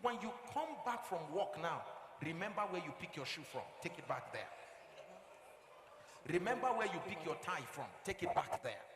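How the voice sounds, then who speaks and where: A man acts out a firm Nigerian marriage counsellor in a live stage play, his voice fairly high. He admonishes in a preachy, fatherly way, repeating himself for emphasis. The sound rings around a noisy, echoing hall. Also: very animated, normal speed, fairly bright tone. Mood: firm, encouraging. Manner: emphatic, preachy, with rhetorical questions and repetition. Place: theatre stage with live audience.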